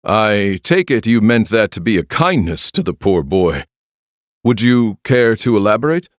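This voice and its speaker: A man's voice: lowish voice, very animated, a little slow, dry recording, clean studio sound. A man playing an urbane, theatrical scholar villain in a video game, grandiose and savoring his words. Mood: intrigued, sly. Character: smooth, theatrical scholar villain with hidden malice. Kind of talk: dramatic game voice acting.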